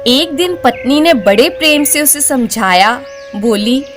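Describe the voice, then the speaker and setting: High-pitched, animated woman storyteller. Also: unhurried, soft background music. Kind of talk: scripted Hindi folk-tale narration.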